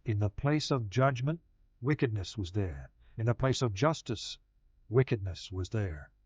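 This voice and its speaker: A man narrating a dramatized scripture audiobook in a solemn, measured manner, with deliberate pauses. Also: lowish voice, expressive, husky, dry recording. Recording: slightly dull audio with music behind. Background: music.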